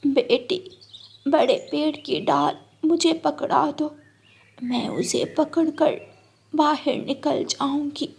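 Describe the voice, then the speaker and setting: A woman's voice: high-pitched, lively intonation, slow, vocal fry, darkish tone, pitched above the performer's own voice, full character voice. A woman voicing an old motherly cartoon woman, plaintive, doting and grumbling. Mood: pleading.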